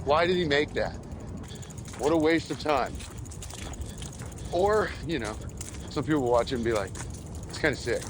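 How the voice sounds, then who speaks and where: A man talking casually to his audience in a rambling, self-deprecating spoken journal, halting and crudely sweary. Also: fairly high pitch, expressive, noisy background. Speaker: vlogger.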